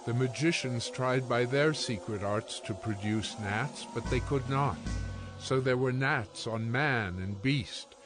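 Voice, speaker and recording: A man narrating scripture for a dramatized audio Bible in a measured, solemn storytelling manner. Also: medium pitch, over music.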